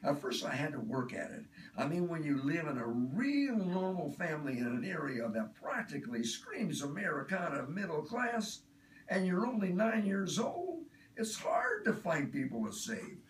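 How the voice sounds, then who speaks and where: A man's voice: fairly high pitch, big pitch swings, normal speed, voice pushed up. A man performing a dramatic monologue as an earnest, chatty, self-absorbed do-gooder, speaking in a confiding, emphatic way. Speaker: author reading own work. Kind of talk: dramatic first-person monologue reading. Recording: roomy, distant mic, faint noise.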